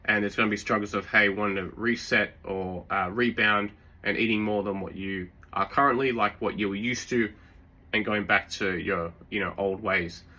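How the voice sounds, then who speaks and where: A man with a sore throat giving relaxed, rambling fitness advice, full of fillers and restarts. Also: on the low side, normal speed. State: sore throat, occasional coughs. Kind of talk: casual advice talk.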